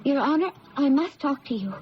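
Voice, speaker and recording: A woman's voice: high-pitched, expressive, brisk. An actress playing an earnest young woman pleading emotionally in an old radio drama. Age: young adult. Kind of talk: 1970s radio drama acting. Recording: muffled, noisy old radio broadcast.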